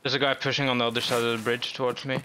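A man's voice: medium pitch, flat delivery, rapid-fire, background noise. A man with a Norwegian accent giving brief, matter-of-fact callouts in casual game voice chat. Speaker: gaming teammate.

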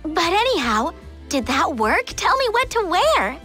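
A very high, lively girl's voice, bubbly and gushing about fashion. She takes her time, breezy as a cartoon game heroine, with music underneath. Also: unhurried, full character voice. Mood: pondering. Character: bubbly fashion-loving teenage girl. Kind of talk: animated game cutscene acting.

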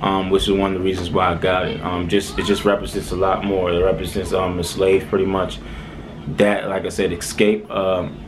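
A man's voice: sits low, level tone, normal speed, crowd noise. A young man from Haiti with a light Haitian accent, talking casually to camera in a small room, loose and rambling with lots of "um"s and "all right"s. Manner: laid-back, rambling, many "um"s and "all right"s. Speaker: vlogger. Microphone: camera close in front.

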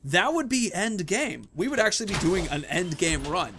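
A man's voice: high-pitched, very animated, moderate pace, background noise. A man in his thirties giving casual, chatty let's-play commentary, thinking aloud as he plays. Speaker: gaming video host. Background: video game sounds.

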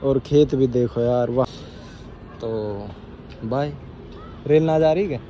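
A man's voice: medium pitch, slow pace, highs cut off. A young man, vlogging casually to his viewers from a phone held at arm's length. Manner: chatty, addresses viewers directly. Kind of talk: casual vlog narration. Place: outdoors and in a village shop. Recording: busy crowd noise behind.

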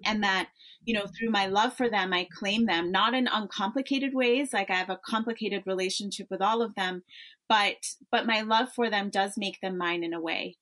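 A woman's voice: mid-range voice, normal speed, a bit bright. A woman in her thirties with an American accent, an author answering interview questions thoughtfully, with frequent fillers and restarts. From born in Tanzania, raised in Europe and Africa. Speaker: memoir author guest.

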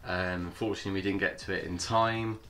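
A man with a cold, sounding hoarse, hosting his vlog in a chatty, hesitant way. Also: deep voice, fairly even intonation, quick pace, bright, forceful tone. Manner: chatty, hesitant with many fillers. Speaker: channel host. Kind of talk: casual vlog intro.

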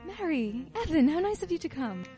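An actress playing a sociable society wife hosting a party in a radio play, gushing and brisk. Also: female voice, high-pitched, very animated, mellow, faint music behind. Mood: gracious, gushing.

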